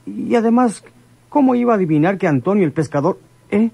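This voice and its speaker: An actor playing an eager but resentful, defensive henchman with a husky voice in a radio serial. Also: high-pitched, animated, brisk, mellow, no echo, exaggerated voice. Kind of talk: radionovela acting.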